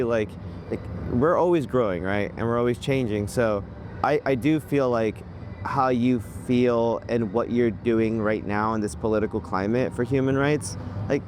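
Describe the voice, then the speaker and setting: A man's voice: medium pitch, noisy background. A young man hosting a documentary series, talking casually and agreeably with lots of fillers. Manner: relaxed, filler-heavy, agreeable. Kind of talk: casual interview conversation.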